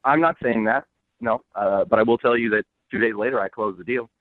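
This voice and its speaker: A man's voice: medium pitch, fairly quick. A man speaking bluntly and smugly over the phone as a guest in an unscripted radio call, unapologetic in his answers. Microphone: telephone. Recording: band-limited phone line.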